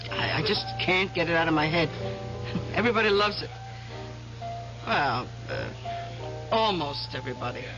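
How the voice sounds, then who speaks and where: A woman's voice: deep voice, expressive, moderate pace, pauses often. An actress playing a chatty waitress in a 1970s radio drama who rambles and chuckles as she gossips. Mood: gossipy. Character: chatty gossiping middle-aged woman waitress. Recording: dull 1970s radio broadcast, music behind.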